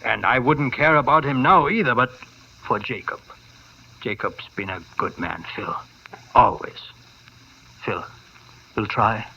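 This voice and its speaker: An actor playing a worried, earnest older doctor, hesitant and pleading, in a 1950s radio drama. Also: male voice, medium pitch, unhurried, creaky, long pauses, bright, forceful tone, exaggerated voice. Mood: troubled, earnest. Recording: muffled, noisy vintage radio broadcast.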